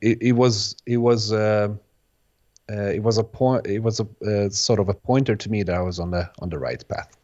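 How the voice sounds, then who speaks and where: Guest: native Bosnian-speaking man, lowish voice, halting and reflective, on a dull lo-fi recording. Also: fairly even intonation, warm tone. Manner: hesitant, restarts phrases, many "sort of" hedges. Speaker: guest, electronic music producer. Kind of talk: casual interview answers.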